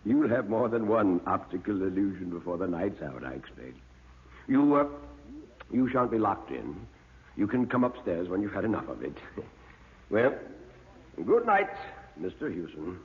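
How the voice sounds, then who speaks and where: A man's voice: sits low, very animated, breathy edge, full character voice. A man voicing a chatty museum manager with macabre relish and hesitant ums, in classic radio horror style. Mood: amused, ominous. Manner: hesitant ums, drawn-out relish, chuckling asides. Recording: muffled narrow-band old radio recording.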